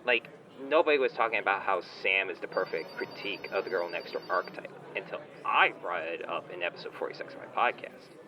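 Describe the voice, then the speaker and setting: A man's voice: deep voice, a little fast, hardly any pauses, crowd noise, natural voice. A man playing a smug, entitled online shop owner on a phone call in a comedy audio drama, whiny, condescending and petulant in casual slang. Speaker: caller, complaining customer.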